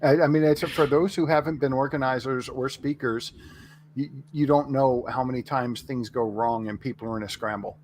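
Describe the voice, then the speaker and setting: A man's voice: mid-range voice, steady tone, normal speed, darkish tone. A man talking casually as a panelist on a developer chat. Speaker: panelist and conference organizer. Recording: clear background noise.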